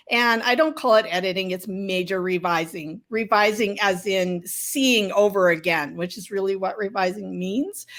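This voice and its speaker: A woman's voice: mid-range voice, fairly bright tone. A woman author being interviewed, telling stories about her writing in a casual, candid and informal way with self-deprecating humour.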